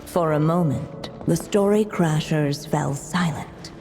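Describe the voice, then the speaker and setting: A woman playing a whimsical, gently teasing storybook narrator in a game, with measured, theatrical fairy-tale delivery. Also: deep voice. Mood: knowing, playful. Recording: long reverb with music behind.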